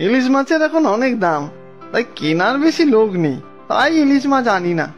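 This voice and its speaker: Woman doing helpful fish stall guy in kids cartoon, high voice, dull sound, music behind. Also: big pitch swings, normal speed. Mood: helpful, regretful. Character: friendly, helpful male fish vendor. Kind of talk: children's cartoon acting.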